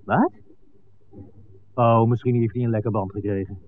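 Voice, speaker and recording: A man's voice: mid-range voice, steady tone, normal speed, natural voice. An actor playing a colloquial, reassuring village man in a 1960s radio play. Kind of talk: 1960s Dutch radio drama acting. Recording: narrowband vintage radio, faint noise.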